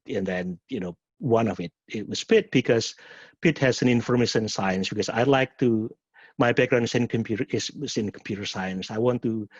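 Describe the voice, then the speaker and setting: A middle-aged man from Indonesia with an Indonesian accent and a husky voice, a professor answering questions at a webinar in a halting way, often repeating words and restarting. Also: lowish voice. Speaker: professor and program director. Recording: muffled, echoey webinar audio.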